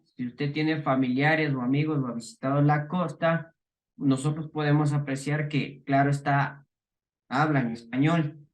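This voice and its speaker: Ecuadorian highland man teaching, higher voice. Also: poor audio. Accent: Ecuadorian highland Spanish. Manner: explanatory, many fillers and restarts, asks rhetorical questions. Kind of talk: recorded class lecture.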